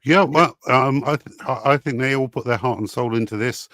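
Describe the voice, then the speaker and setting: A man's voice: mid-range voice, moderate pace, a bit bright, dry recording. Man chatting in a dry, close sound. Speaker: panellist on a pop culture show. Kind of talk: casual chat, giving opinions.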